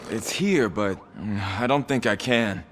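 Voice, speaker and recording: A man's voice: lowish voice, animated, measured pace, a bit bright. An actor playing a hesitant, self-doubting young male rookie police officer in a video game. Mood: squeamish, nervous. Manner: hesitant, unsure.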